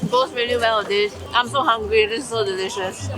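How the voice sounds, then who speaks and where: A young Singaporean woman talks her way through a food tour, her voice sitting a little high and bright. She is chatty and eager, breaking into small laughs between bites. A music bed runs under her voice. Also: brisk. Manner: chatty, enthusiastic tasting remarks with frequent laughs. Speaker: travel vlogger. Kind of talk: casual food vlog commentary.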